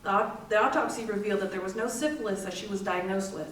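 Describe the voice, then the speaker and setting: A woman's voice: medium pitch, fast, a bit bright, lightly acted. Woman acting a grieving sister, mid-range voice, readers theater storytelling, roomy echo, faint noise. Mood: solemn. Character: grieving sister recounting an exhumation. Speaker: community theatre actor. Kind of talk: readers theater first-person monologue. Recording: echoey room, distant mic, faint noise.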